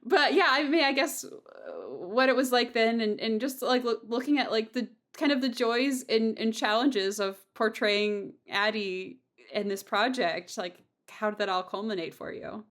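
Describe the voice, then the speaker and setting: A woman's voice: a little high, moderate pace, a bit bright. A woman hosting a podcast conversation in a casual, hesitant and rambling way with a giggly streak. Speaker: audio drama creator and host.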